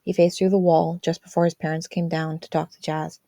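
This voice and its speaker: Lowish-voiced woman narrating a story. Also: level tone, brisk, dark tone, no echo, studio quality. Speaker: amateur audiobook reader. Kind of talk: fan fiction story narration.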